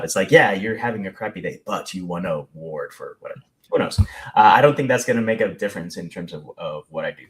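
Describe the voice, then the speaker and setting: A man in his thirties from Rico, a Spanish speaker, rambling casually about his writing plans on a video call with a headset. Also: deep voice. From Puerto Rico. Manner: rambling, self-deprecating, animated with hand gestures. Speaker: author guest. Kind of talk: casual panel chat. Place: home room.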